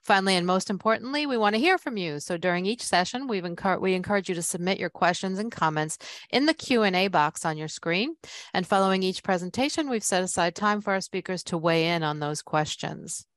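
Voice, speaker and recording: A woman's voice: fairly low pitch, animated, brisk, dry recording. Woman host reading a polished event welcome. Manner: polished, read from a script. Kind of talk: scripted event introduction.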